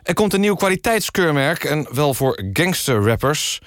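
A man's voice: on the high side, moderate pace, dry recording, clean studio sound, close to an everyday voice. A man playing a straight-faced radio magazine host, reading mock news and interviewing politely. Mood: deadpan. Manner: deadpan, polite, stumbling in questions.

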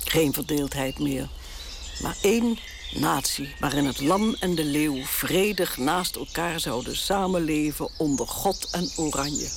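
A woman's voice: sits low, animated, unhurried, gravelly, noisy background, heavily acted. A stubborn old queen. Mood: reminiscing. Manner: imperious, indignant. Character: elderly exiled queen, stubborn and proud. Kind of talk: radio drama acting.

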